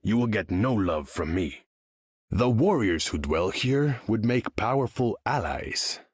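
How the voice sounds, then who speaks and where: An actor playing a proud, imperious undersea king in a video game, speaking formally and pompously with a husky edge. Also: fairly low pitch, lively intonation, a little slow, dry recording, studio quality. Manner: pompous, formal, grandiose, speaks of himself in third person. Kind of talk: video game character voice lines.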